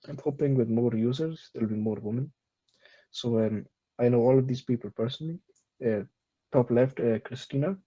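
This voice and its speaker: Man doing a bragging phony doctor, pompous and deadpan, husky mellow voice, lo-fi sound. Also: mid-range voice, lightly acted. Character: boastful self-styled male herbal doctor, absurd. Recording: dull lo-fi recording.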